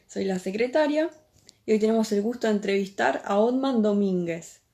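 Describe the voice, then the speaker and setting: A woman's voice: mid-range voice, moderate pace, warm tone. Young argentine woman hosting a livestream interview, rioplatense accent, friendly, laughs a lot. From Buenos Aires province, Argentina. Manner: friendly, laughs often, informal. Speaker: interviewer, host. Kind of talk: casual live-stream interview. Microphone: video call.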